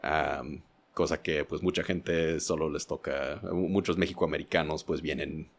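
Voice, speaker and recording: Very low-voiced man, hesitant. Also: steady tone, measured pace, gravelly. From United States, Indiana. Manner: hesitant, self-correcting, qualifying himself. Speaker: podcast guest. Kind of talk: casual interview, personal reflection.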